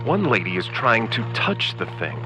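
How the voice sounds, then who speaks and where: An actor playing an earnest, flustered radio reporter on the scene in a comedic 1930s-style radio drama. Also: male voice, fairly low pitch, huge pitch swings, normal speed, rough recording. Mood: dramatic, urgent. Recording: music behind the voice.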